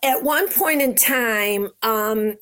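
Older American woman interviewee, unhurried storyteller, slightly high voice, very measured pace. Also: fairly bright tone. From Rochester, New York, United States. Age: 70s. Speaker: interviewee, Red Cross veteran. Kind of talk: personal recollection in an interview.

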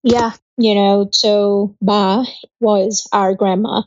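Even-toned woman from Kenya, dry lo-fi sound. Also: mid-range voice, slow pace, no room sound. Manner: steady, reflective, even-toned. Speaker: podcast guest. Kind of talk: casual interview chat.

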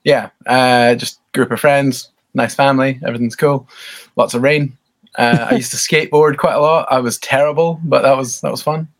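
A man in his thirties from Scotland with a Scottish accent, chatting relaxed and self-deprecating as a podcast guest. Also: mid-range voice, moderate pace. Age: 30s. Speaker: podcast guest, band bassist. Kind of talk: casual interview chat.

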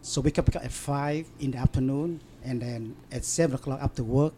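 A man in an interview, telling a story in halting, broken English with many fillers and restarts. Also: mid-range voice, warm tone, slight background noise. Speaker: interview guest. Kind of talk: casual storytelling.

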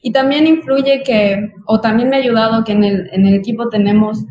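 A woman from Mexico's Yucatán, speaking with the region's accent, chats about work in a slightly high voice. She pauses often and fills the gaps while she looks for words. The sound carries an echoey room around her. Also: steady tone, a little mellow, dull audio. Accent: Yucatán Mexican Spanish. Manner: thoughtful, hesitant, with many fillers. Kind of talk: casual chat.

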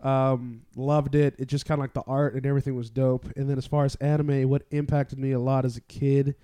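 Casual, animated man telling stories. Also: mid-range voice, fairly quick, clean tone, no room sound. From born in the Philippines. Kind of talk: casual chat.

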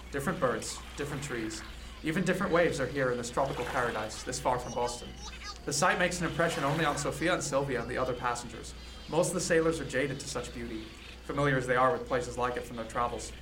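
A man's voice: medium pitch, noisy background, lightly acted. A man narrating scenes in a scripted audio drama.

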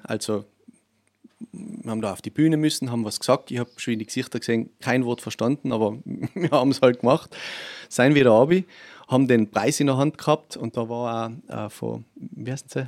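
A man's voice: mid-range voice, moderate pace, a little mellow, dry recording, studio quality. A man with a Tyrolean Austrian accent chatting as a musician guest, telling anecdotes in a casual way. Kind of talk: casual anecdotal interview chat.

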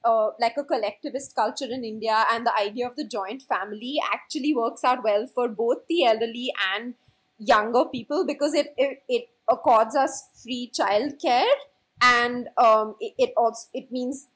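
Woman host, breathy, somewhat high voice, moderating discussion. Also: normal speed. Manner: thoughtful, poses questions.

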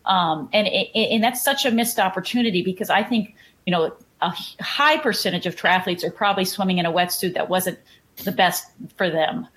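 A woman's voice: lowish voice, moderate pace, a bit bright. A woman with a Texan accent, a triathlon coach chatting in a podcast interview and often repeating words as she starts a thought. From Houston, Texas.

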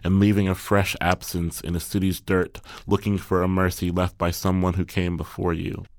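A man reading poetry aloud as its author, in a solemn, deliberate manner. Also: low-pitched, little pitch movement, no echo, studio quality. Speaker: poet reading own work.

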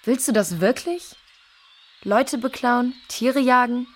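A woman playing a kind, level-headed girl who reasons calmly and earnestly in a children's audio drama. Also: fairly high pitch, slow pace. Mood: firm, advising.

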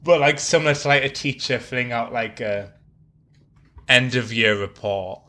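A man's voice: mid-range voice, normal speed, bright, forceful tone. A man co-hosting a casual music discussion, talking in an effusive, analytical and wordy way. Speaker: podcast co-host.

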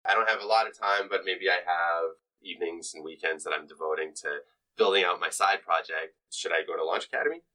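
A man hosting an interview, asking curious questions in a casual, lively way. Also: low-pitched, fast talker, distant mic, low-quality recording. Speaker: podcast host, interviewer.

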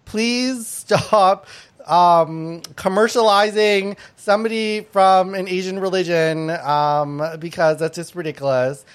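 A woman's voice: lowish voice, steady tone, slow, clear, resonant tone. A woman born in Thailand, hosting a podcast and chatting bluntly, laughing often and swearing. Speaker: podcast host. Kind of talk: casual podcast chat.

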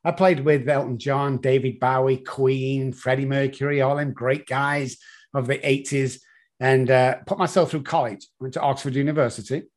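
A man's voice: mid-range voice, normal speed. Mancunian man telling his story. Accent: Mancunian English. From Manchester, England. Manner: animated, rambling with fillers and restarts. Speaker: guest, addiction consultant. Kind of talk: conversational storytelling.

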